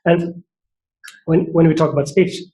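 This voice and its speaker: A man's voice: fairly high pitch, fairly even intonation, moderate pace, dark tone, rough recording. A man with a Norwegian accent giving an academic seminar talk, thoughtful and hesitant, with many fillers and restarts. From Norway. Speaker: seminar speaker.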